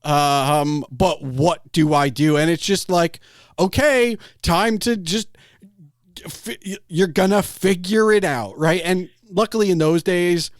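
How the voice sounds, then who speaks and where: A man chatting casually as a podcast host, telling anecdotes in an animated way and acting out imagined quotes. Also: high-pitched, takes it slowly, dry recording, studio quality.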